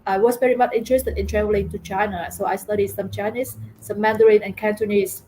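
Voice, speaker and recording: A middle-aged woman from Vietnam with a Vietnamese accent, telling her story as an interview guest, halting with many fillers and repeated words. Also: medium pitch, little pitch movement, warm tone, a little hiss or hum, poor audio.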